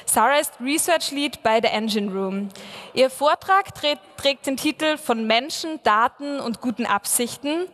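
A woman's voice: sits high, measured pace, fairly bright tone. A woman hosting an event, delivering a scripted stage introduction for a speaker. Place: large conference hall on stage, indoors. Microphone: podium microphones. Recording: echoey hall with long reverb.

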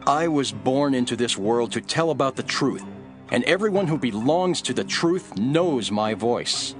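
Calm, assured holy man on trial, played by a man, middle register, earnest scripture drama over music. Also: medium pitch, a little slow, close to an everyday voice.

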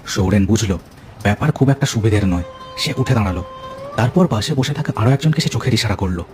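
A man's voice: lowish voice, expressive, fast. A man telling a cartoon ghost story as narrator, with dramatic scripted delivery.

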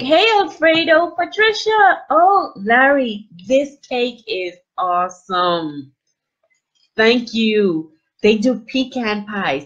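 Gushing middle-aged woman, husky webcam audio. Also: a little high, dull audio. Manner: gushing and animated, frequent exclamations and fillers. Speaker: livestream host. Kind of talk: casual live unboxing chat. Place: small indoor room with plain wall. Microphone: webcam at desk distance.

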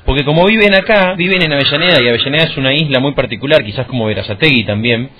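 A man's voice: mid-range voice, racing. A man with a Buenos Aires accent hosting a conversational political interview on the radio. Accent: Buenos Aires Argentine. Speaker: radio host. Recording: dull lo-fi radio audio with music.

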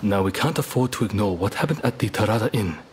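A man's voice: on the low side, animated, a little hiss or hum, lightly acted. A man playing a composed, courteous samurai statesman who speaks formally in a video game cutscene. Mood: earnest, grave. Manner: formal, deliberate.

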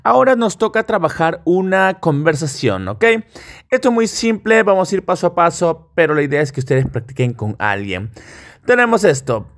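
A man from Peru with a Peruvian Spanish accent, a native Spanish speaker teaching English step by step and modelling phrases deliberately for repetition. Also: on the high side, big pitch swings. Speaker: English teacher, online course host. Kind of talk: step-by-step language lesson with repetition drills.

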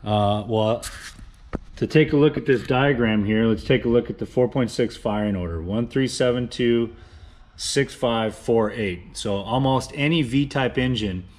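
A man's voice: medium pitch, measured pace, a little mellow. A man in his thirties explaining engine work in a casual workshop tutorial, plain-spoken with frequent uhs and restarts, recorded in a home garage. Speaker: engine builder explaining cam timing. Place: home garage with cars and a car lift. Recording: echoey garage.

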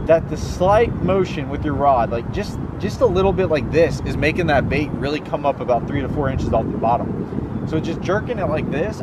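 A young man, casually and enthusiastically explaining fishing in a folksy way as a video host. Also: on the high side. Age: young adult. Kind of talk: casual instructional fishing vlog. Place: outdoors on a pond bank. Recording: outdoor wind and ambient noise.

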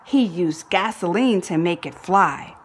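A woman reading a children's book aloud in an expressive narrating style, phrasing deliberately and leaning on key words for drama. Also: lowish voice, slightly rough. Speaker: storybook reader.

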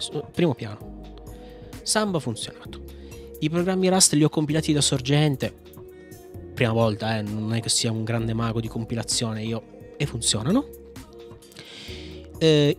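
A man, talking casually and playfully like a video host rambling through a tech tutorial, close to the microphone. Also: mid-range voice. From Italy. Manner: informal, playful, slangy, rambling. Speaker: host of a Linux video channel. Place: small home room. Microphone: microphone on a boom arm, close.

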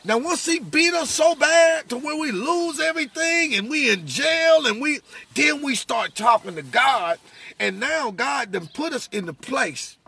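A man's voice: extremely high pitch, lively intonation, unhurried, creaky, bright, forceful tone, a little hiss or hum. A middle-aged American man preaching off the cuff in conversation, emphatic and repetitive, as if testifying. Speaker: religious speaker. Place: inside a parked vehicle.

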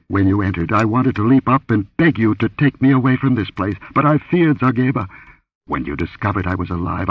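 A man's voice: low voice, husky, no echo. A man voicing a terrified young dancing girl who pleads tearfully and breathlessly in a pulp adventure story. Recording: dull lo-fi audio.

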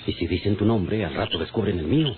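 Radio drama: wary Mexican male boss, played by an actor, very low voice, bossy, badly hissy narrow-band recording. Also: animated, fast, lightly acted. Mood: scolding. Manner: secretive, commanding. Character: wary, scolding male boss plotting a killing in secret. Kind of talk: old Mexican radio serial acting. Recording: tinny, very noisy old radio recording.

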